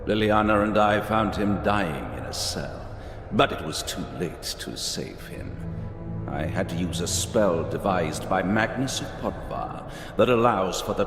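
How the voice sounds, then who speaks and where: An actor playing a haughty, menacing occult cultist in a video game, speaking gravely, formally and emphatically. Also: male voice, on the low side. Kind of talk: dramatic video game acting. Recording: echoey hall reverb, music behind.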